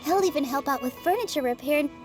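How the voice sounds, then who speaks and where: An actress playing a gentle, earnest young theater dancer with a soft, polite manner. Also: female voice, high voice, fast talker, over music, natural voice. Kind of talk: video game voice acting.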